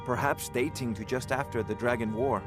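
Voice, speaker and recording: Male actor as haughty fantasy game scholar-wizard, pompous, condescending, medium pitch over music. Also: a little fast, close to an everyday voice. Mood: eager, absorbed. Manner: pompous, pedantic. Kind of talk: video game voice acting.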